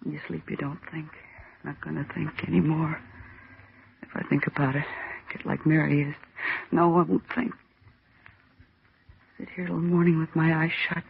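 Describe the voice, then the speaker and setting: A woman's voice: deep voice, level tone, moderate pace, creaky, long pauses. An actress in an old-time radio drama playing a terrified, trapped woman struggling to stay sane, with a tremulous, pleading, intense delivery. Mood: numb, dread. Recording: noisy, tinny old radio recording.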